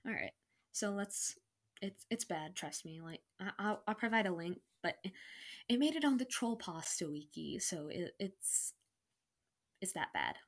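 A woman's voice: medium pitch, animated, unhurried. A young adult woman casually reading her own story aloud with self-mocking, incredulous commentary. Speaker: online reader of own stories.

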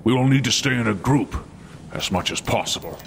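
A man's voice: medium pitch, expressive, a little fast, creaky, slight background noise. A man playing a grave, cautious dragon ally in a fantasy audio drama.

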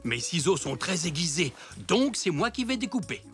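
A man voicing a kind, patient grandfather zebra in a cartoon, gentle and encouraging, often chuckling. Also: sits high, sing-song, normal speed, faint music behind, full character voice. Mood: instructive. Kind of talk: French cartoon dubbing.

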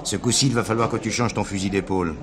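Actor as a deep-voiced menacing gangster. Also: male voice, fairly even intonation, fast talker, crowd noise, natural voice. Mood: threatening. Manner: curt, threatening, slangy. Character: menacing, domineering male gangster. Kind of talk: French crime radio drama acting.

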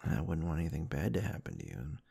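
Very deep, husky, soft-spoken man, close up. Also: wildly swooping pitch, fast, no room sound. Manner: soft, affectionate, soothing, doting, murmuring. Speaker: ASMR roleplay performer. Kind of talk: intimate roleplay ASMR. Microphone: close-up microphone, dry.

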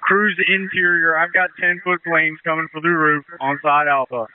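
A man's voice: a little high, flat delivery, moderate pace, smooth. A man giving terse, urgent orders as fire incident commander over a two-way radio. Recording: tinny band-limited two-way radio traffic.

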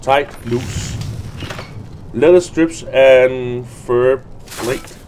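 A man's voice: mid-range voice, fairly even intonation, very slow, darkish tone, a little hiss or hum. A man giving unscripted game commentary, muttering to himself and reading item names aloud. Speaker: gamer recording a let's play.

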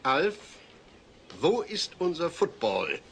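A man's voice: on the high side, big pitch swings, takes it slowly, gravelly, heavy background noise, full character voice. A man playing a long-suffering suburban father in a dubbed sitcom, speaking earnestly. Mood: stern, fed up. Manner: earnest, stern yet polite.

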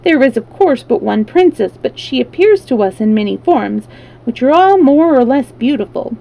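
A woman's voice: high-pitched, lively intonation, moderate pace, vocal fry, warm tone, full character voice, rough recording. A woman voicing a weepy, eager male storybook creature, harmless and anxious, with a pleading way of explaining itself. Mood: earnest explaining.

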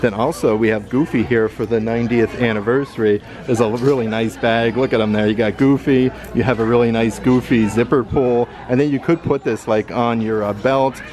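A man's voice: medium pitch, steady tone, moderate pace, few pauses, darkish tone. An American man giving an upbeat, enthusiastic, casual running narration as a shopping vlogger. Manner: upbeat, enthusiastic showing-and-telling. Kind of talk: casual vlog narration of store items. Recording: music behind the voice. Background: background music.

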